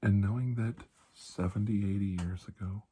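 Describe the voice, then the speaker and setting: A man playing a calm, reassuring doctor in an ASMR roleplay, speaking softly and breathily, often close to a whisper, with a measured, halting delivery. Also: on the low side. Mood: solemn, hushed. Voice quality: soft, breathy, husky, often near whisper. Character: calm, reassuring male doctor treating a patient. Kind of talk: ASMR roleplay, hushed storytelling.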